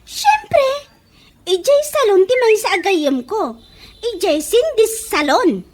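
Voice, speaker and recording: Vain, defiant young Ilocano mother played by a woman, piercingly high, animated, radio comedy with clear noise. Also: slow, heavily acted. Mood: pleased, boastful. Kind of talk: comedy radio drama acting.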